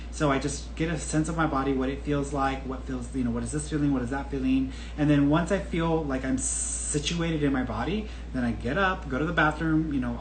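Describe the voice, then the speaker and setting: A man in his thirties, a podcast host answering a viewer's question in a casual, candid, chatty way. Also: medium pitch. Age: 30s. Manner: chatty and candid, with frequent restarts and laughs. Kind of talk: casual solo talk. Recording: echoey room with faint background noise.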